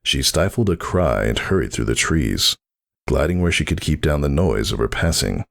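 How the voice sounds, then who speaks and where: A man's voice: very deep voice, expressive, normal speed, gravelly. A man narrating a fantasy story in a dramatic, scripted reading style.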